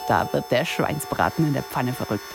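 A woman's voice: extremely low pitch, lively intonation, moderate pace, gravelly, music in the background. A woman of thirty-nine delivering an ironic, self-mocking scripted radio monologue in the first person. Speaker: radio columnist and presenter.

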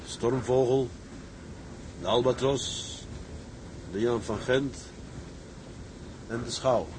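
A man's voice: medium pitch, slow pace, natural voice. Male actor as earnest working seaman, mid-range voice, radio play with slight background noise. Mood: sober, matter-of-fact. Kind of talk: Dutch radio drama acting.

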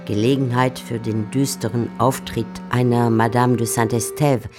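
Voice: medium pitch, level tone, normal speed, music in the background, lightly acted. A narrator commenting on a classic novel in a radio drama, wry and rhetorical. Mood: wry, explanatory.

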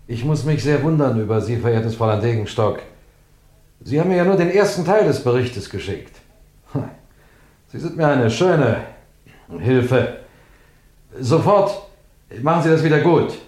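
A man's voice: on the high side, a little mellow. A man playing a wily, composed police detective in an old-fashioned radio crime play, courteous and teasing in a theatrical way. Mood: feigned scolding. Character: wily, composed male police detective telling his own case. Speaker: narrator and lead actor.

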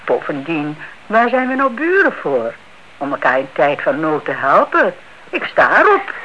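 A muffled vintage radio recording with light hiss brings in a chatty female neighbour. She is bright-voiced and very animated in pitch, hospitable but nosy, fussing and insisting as she talks. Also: a little slow, full character voice. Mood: insistent. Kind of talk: radio drama acting in Dutch. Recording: dull, faintly hissy old radio recording.

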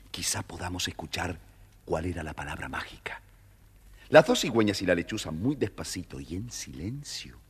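Low, very husky male storyteller. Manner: measured, expressive storyteller. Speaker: narrator. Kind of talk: scripted children's story narration. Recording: reverberant studio story recording. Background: music.

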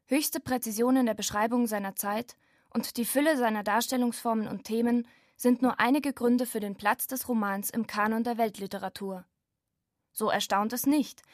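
A woman's voice: fairly high pitch, dry recording, clean studio sound. A woman reading scripted narration for a radio feature.

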